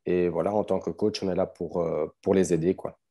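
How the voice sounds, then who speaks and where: Low, dark-voiced Belgian man. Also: fairly quick. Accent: Belgian French. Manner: relaxed, hesitant, many fillers and restarts. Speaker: guest, strength coach. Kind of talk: casual podcast conversation.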